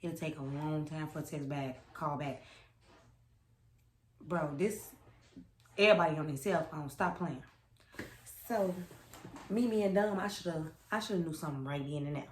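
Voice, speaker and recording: A woman's voice: deep voice, moderate pace, pauses often, a little hiss or hum. A young woman telling a personal story in a casual, sassy, emphatic way, repeating phrases to drive her point home. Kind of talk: casual storytime chat to camera. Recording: roomy echo.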